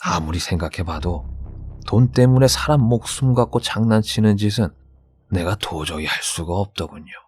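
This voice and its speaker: A man's voice: on the low side, normal speed, darkish tone, breathy edge, no room sound. A man playing a sly, candid shaman in a horror radio drama, speaking in a knowing, conversational way with dramatic emphasis. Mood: solemn, confiding. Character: sly, candid shaman confiding about vengeful ghosts.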